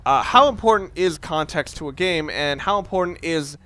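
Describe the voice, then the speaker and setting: A man's voice: sits high, lively intonation, moderate pace, bright, forceful tone. A man giving casual, rambling commentary over a video game, wry and often stopping to restart his sentences. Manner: rambling, wry, self-interrupting. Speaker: let's play commentator.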